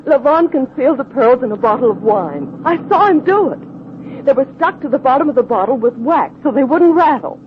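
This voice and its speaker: A woman's voice: high voice, expressive, moderate pace. A woman playing a frightened young female smuggler in a 1940s radio drama, pleading and breathless, on a muffled recording with faint hiss. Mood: anxious.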